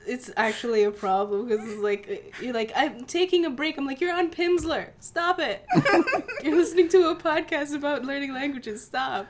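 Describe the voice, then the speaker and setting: A young woman co-hosting a casual podcast, bubbly and quick to giggle. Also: high-pitched, background noise. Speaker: podcast co-host.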